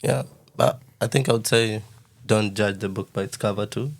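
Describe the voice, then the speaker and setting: A man talking as a guest in a casual podcast chat, measured and searching for his words. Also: on the low side, fairly even intonation, vocal fry.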